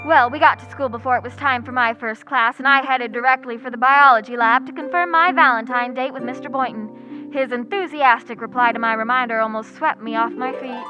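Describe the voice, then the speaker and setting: A woman's voice: a little high, fairly quick, muffled recording, music in the background, natural voice. A woman playing a wry, lovelorn, wisecracking schoolteacher in an old-time radio comedy. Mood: sardonic. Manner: dry wisecracking delivery. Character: wry, quick-witted single schoolteacher woman, lovelorn and sardonic. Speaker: lead actress.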